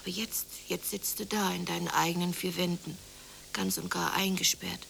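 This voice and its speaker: A woman's voice: lowish voice, fairly even intonation, moderate pace, creaky, a bit bright. An actress playing a despondent, worn-out mother with a brooding, exasperated manner in a radio play. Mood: despairing. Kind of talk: German radio play acting. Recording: noisy 1980s radio play recording.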